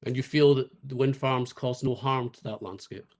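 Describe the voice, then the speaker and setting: A man runs a cross-examination, asking his questions politely and deliberately. His voice sits at a middling pitch for a man and he speaks plainly. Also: steady tone, a little mellow.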